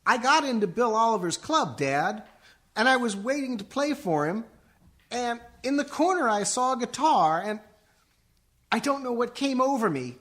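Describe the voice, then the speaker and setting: Man acting as young musician son, radio comedy: earnest, melodramatic, very animated and bright. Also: very high voice, moderate pace. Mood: sheepish confession. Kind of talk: comic radio play acting.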